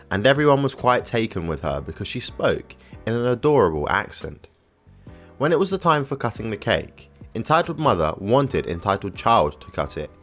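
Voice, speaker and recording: A man narrating online posts as scripted storytelling, with an even, matter-of-fact reading. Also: on the low side.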